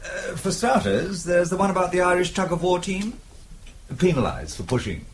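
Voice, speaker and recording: Radio drama: dry-witted British husband, suave and flippant, lively intonation, light background noise. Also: male voice, high-pitched, normal speed. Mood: flippant, evasive. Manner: wry, urbane, evasive. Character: dry, flippant Englishman, quick-witted husband under suspicion.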